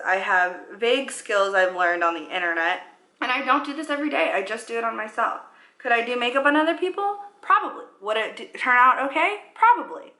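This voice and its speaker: Twenties woman rambling thru her makeup at home, bright voice, roomy echo. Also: mid-range voice. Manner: rambling, self-deprecating, talks while applying makeup. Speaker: vlogger. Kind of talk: chatty makeup tutorial. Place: living room at home, indoors. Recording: echoey room.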